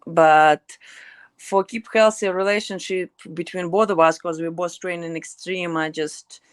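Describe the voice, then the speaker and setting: A woman's voice: on the low side, no room sound. A young woman fighter with a Ukrainian accent, speaking blunt, broken English as a guest in a casual interview.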